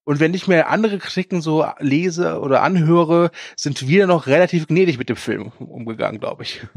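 A man's voice: fairly high pitch, normal speed, no echo. A man co-hosting a casual film news podcast, talking with frequent hesitations and filler sounds. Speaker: podcast co-host.

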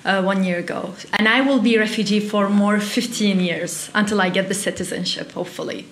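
A woman in her twenties with a Middle Eastern accent, giving earnest, slightly halting answers as an interview guest. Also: medium pitch. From Iraq, later Syria and Turkey. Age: 20s. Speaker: interview guest, refugee youth delegate. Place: small indoor interview set. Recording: roomy, echoey sound.

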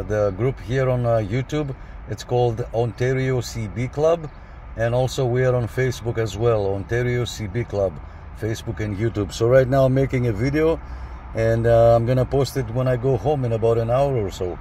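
A man operates a CB radio from a parked car, talking informally and repeating his radio jargon. His pitch is average, and faint vehicle noise sits behind him. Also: mellow. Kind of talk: informal radio contact chat. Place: inside a parked car in a parking lot. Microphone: camera beside the dashboard radio.

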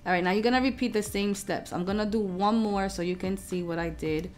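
A woman hosting a craft software tutorial, explaining each step in an informal, casual way. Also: mid-range voice, fairly quick, faint music behind.

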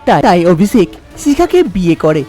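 Woman, scripted cartoon narration, lively intonation, background music. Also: lowish voice, moderate pace. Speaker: story narrator.

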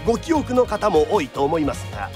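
An actor narrating a period radio drama as a theatrical kodan storyteller, formal and declamatory. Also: male voice, fairly high pitch, very animated, fairly quick, over music. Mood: dramatic narration. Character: lively traditional storyteller narrating a period tale.

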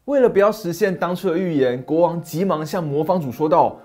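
A man's voice: on the high side, lively intonation, brisk, warm tone. Dramatic middle-aged male storyteller. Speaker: storyteller host. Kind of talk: scripted fairy-tale narration. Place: small dim study set.

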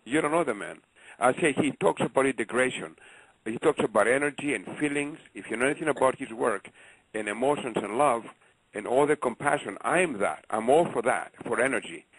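A man's voice: mid-range voice, lively intonation, brisk, fairly bright tone, breathy edge, dry recording. Passionate Greek-accented male caller. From Greece. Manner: passionate, emphatic, repeats himself. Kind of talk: call-in radio talk. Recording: phone line on radio show.

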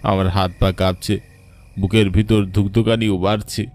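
A man's voice: on the low side, flat delivery, normal speed, a little mellow, natural voice. A man playing a weary, frightened village rickshaw puller, telling a cartoon ghost story in an anxious, suspenseful, wondering way. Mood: alarmed, mystified. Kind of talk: dramatic first-person horror story narration for a cartoon.

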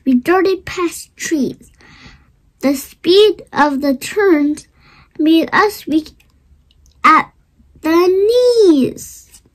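Storybook reader, child, very high voice, unhurried deliberate phrasing, expressive read-aloud. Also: low-quality recording.

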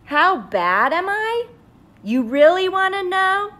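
A woman voicing a grumpy, defiant little sunflower seed in an emphatic, drawn-out storybook delivery. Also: extremely high pitch, big pitch swings, fairly bright tone, pitched above the performer's own voice, full character voice.

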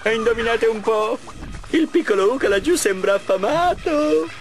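A man voicing a vain mad-scientist villain in an animated game, theatrical, smug and mocking. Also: very high-pitched, normal speed. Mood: taunting. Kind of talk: animated game cutscene dub.

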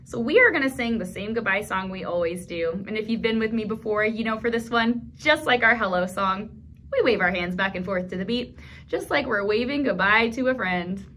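A woman in her thirties hosting a children's storytime, talking in an encouraging, animated, instructional way to toddlers and their grown-ups. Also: mid-range voice, fairly quick, slight background noise. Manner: animated, encouraging, simple clear phrasing for young children. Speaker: children's storytime host at a library. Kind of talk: instructional talk to toddlers and caregivers. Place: small indoor room.

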